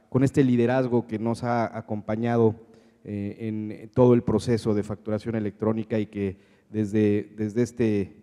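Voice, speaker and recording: A man with a Mexican accent moderating a conference panel in a semi-formal, hesitant and halting way, often repeating his words. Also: medium pitch, dark tone, clean tone. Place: conference hall. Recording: reverberant conference hall sound.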